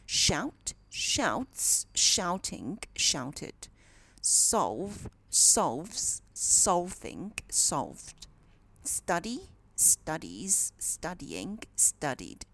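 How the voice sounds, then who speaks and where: A woman reading a dictation exercise as a teacher, in a deliberate, enunciated way with pauses between phrases. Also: fairly low pitch, very animated, creaky, a bit bright. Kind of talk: slow scripted dictation read-aloud.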